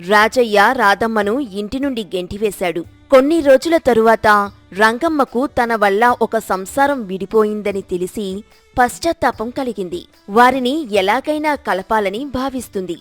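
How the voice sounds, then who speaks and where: A woman's voice: fairly high pitch, unhurried, dry recording, soft background music. A woman narrator reading a scripted moral tale in storytelling style.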